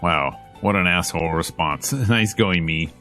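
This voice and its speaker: A man with a low voice reads story narration and dialogue aloud as a streamer would. His delivery is casual and slangy, like someone playing through a visual novel, with music playing faintly underneath. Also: measured pace, sharp tone. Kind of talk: casual let's play read-aloud.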